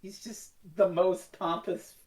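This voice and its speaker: A woman's voice: fairly low pitch, steady tone, slow pace, darkish tone. A woman co-hosting a casual review podcast in a blunt, sweary way. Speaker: podcast co-host.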